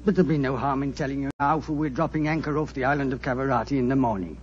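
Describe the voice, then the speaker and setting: An actor playing a talkative, gossipy ship's doctor in a 1940s radio drama on a dull old recording. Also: male voice, medium pitch, rapid-fire, natural voice. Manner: chatty, gossipy, chuckling.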